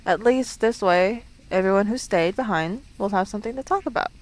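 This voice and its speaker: A woman's voice: medium pitch, brisk, close to an everyday voice. Bubbly, rambling young-hearted woman on a date, acted. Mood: flustered, friendly. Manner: chatty, rambling, giggly, hesitant. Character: bubbly, playful, awkward woman at speed dating. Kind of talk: ASMR roleplay, natural conversational acting to the listener.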